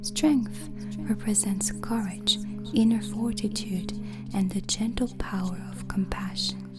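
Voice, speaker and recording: A woman playing a gentle, hospitable witch in an ASMR roleplay, speaking in a breathy, soothing whisper. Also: medium pitch, natural voice. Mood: calm, instructive. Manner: slow, deliberate, soothing. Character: gentle, hospitable witch hosting a guest overnight. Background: music.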